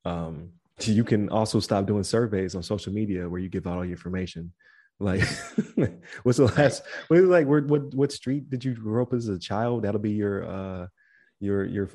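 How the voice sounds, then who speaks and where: Slightly low-voiced, tiring male podcast host. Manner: relaxed and rambling, many fillers, reads out the show's links at the close. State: voice starting to give out. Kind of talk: casual chat.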